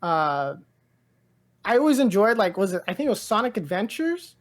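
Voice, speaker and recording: A woman co-hosting a pop-culture podcast. She talks in a loose, gushing ramble full of filler words, like an excited fan chatting with a friend. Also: mid-range voice, normal speed. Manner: rambling, heavy use of "like" and "dude"; enthusiastic, gushing. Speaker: podcast co-host. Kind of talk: casual pop-culture chat.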